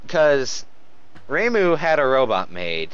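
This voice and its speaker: A man's voice: mid-range voice, big pitch swings, takes it slowly, fairly bright tone, clean tone. A man doing a casual comedic read-aloud with sarcastic, rambling, foul-mouthed asides, recorded in dull lo-fi sound. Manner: sarcastic, rambling, swears freely. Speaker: host reading fan fiction aloud with running commentary.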